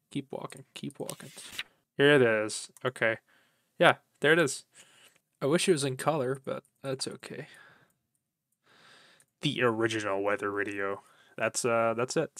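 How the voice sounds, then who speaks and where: Male vlogger, bright forward voice, mid pitch, informal rambling delivery with folksy phrasing. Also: dry recording, studio quality. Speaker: YouTube vlogger, vintage electronics collector. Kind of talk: casual rambling vlog chat.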